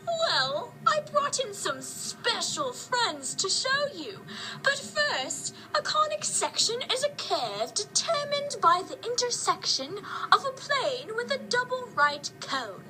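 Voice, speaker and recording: Woman putting on a very high-pitched puppet teacher. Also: sing-song, slow, pushed and bright, full character voice, low-quality recording. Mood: instructive. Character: sock puppet teacher greeting a class. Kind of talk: puppet show lesson. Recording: music playing behind.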